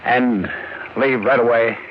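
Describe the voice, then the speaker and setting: A man's voice: medium pitch, steady tone, slow pace, natural voice. An American man acting a cornered, wary husband on the run in a 1940s radio drama, in terse, colloquial lines. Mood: urgent, desperate. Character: cornered, desperate fugitive husband, wary and hard-boiled. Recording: muffled, noisy old radio recording.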